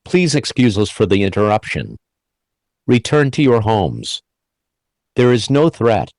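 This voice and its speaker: A man reading a scripted emergency broadcast announcement in a formal, measured, official manner. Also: fairly low pitch, sing-song, darkish tone, no echo.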